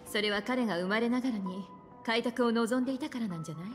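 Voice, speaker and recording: An actress playing a composed, thoughtful woman in a video game, reasoning calmly and with assurance. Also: fairly high pitch, quick pace, lightly acted. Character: composed, thoughtful, mature female traveling companion. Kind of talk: Japanese game voice acting. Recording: long reverb, clear music behind.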